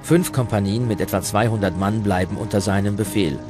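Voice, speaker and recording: A man's voice: on the low side, steady tone, fairly quick. A man reading scripted documentary narration as the narrator. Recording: loud music bed under the voice. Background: loud music.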